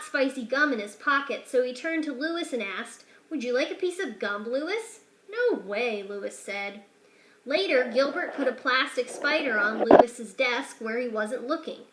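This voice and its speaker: Female narrator for a kids' picture-book read-aloud, high and bright, lively character voices, roomy echo. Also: moderate pace, noisy background. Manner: expressive, shifts pitch and loudness for each character. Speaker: teacher reading aloud.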